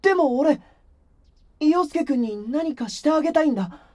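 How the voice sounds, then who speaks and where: A man playing a gentle, shy, earnest teenage boy idol in an anime-style voice drama, speaking softly and hesitantly. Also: very high voice, lively intonation, moderate pace. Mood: earnest, pleading. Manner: soft, hesitant, sincere delivery.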